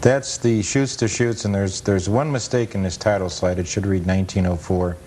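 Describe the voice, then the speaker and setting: Low, mellow American man explaining plainly. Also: fairly even intonation, moderate pace. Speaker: interview guest, photo historian and author. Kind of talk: casual explanatory talk. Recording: noisy 1980s cable TV recording.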